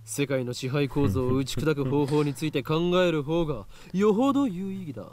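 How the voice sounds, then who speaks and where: An actor playing a grandiose, scornful young self-styled mad scientist in a visual novel, declaiming pompously. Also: male voice, on the high side, animated, very fast, darkish tone, lightly acted. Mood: scornful, lofty. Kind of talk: Japanese visual novel acting.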